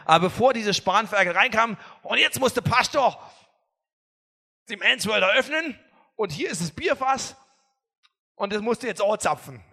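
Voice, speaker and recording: A man preaching a sermon as pastor, telling stories with humor in a lively way. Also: sits high, moderate pace, vocal fry, long pauses. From not from Bavaria or Austria. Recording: echoey hall, faint music.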